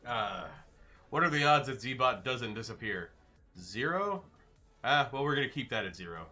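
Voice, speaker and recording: A man's voice: medium pitch, lively intonation, moderate pace, bright, forceful tone, soft background music. A man streaming live, casually reading and answering his chat with loose, joking replies.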